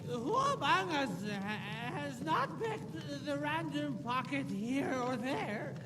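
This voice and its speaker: A woman's voice: sits high, very animated, crowd noise, exaggerated voice. A woman voicing a flustered video game companion who stammers through grand, rambling speeches. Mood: earnest, rambling. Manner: stammering, halting, grandly wordy. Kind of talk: video game character dialogue. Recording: distant, echoing game audio under loud music.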